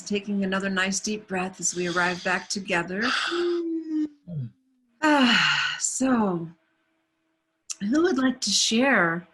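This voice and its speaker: Woman, husky voice, very slow, soft guided meditation, video call. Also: medium pitch. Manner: soft, unhurried, soothing.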